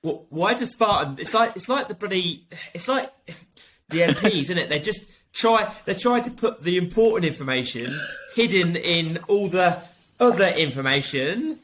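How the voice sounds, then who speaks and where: A young British man co-hosting a podcast, congested from a cold, talking in an animated, jokey way with casual swearing. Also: sits high, moderate pace, slight background noise, poor audio. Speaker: podcast co-host and personal trainer.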